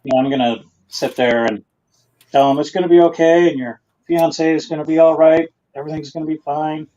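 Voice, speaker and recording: A man's voice: a little high, steady tone, a little fast, dark tone. Lo-fi male gamer at the table. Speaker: tabletop game player. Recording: dull lo-fi microphone.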